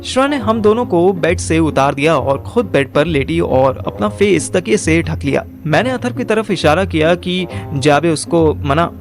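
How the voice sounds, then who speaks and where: A man's voice: a little high, animated, normal speed, few pauses, faint music behind. A young man narrating a first-person audio drama in colloquial Hindi mixed with English words. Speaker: narrator and lead character.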